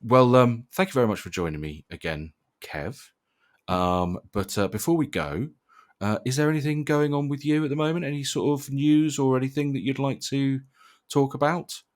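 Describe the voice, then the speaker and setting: A man hosting a casual podcast chat, speaking in a hesitant, halting and wordy way. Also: mid-range voice. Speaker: podcast host.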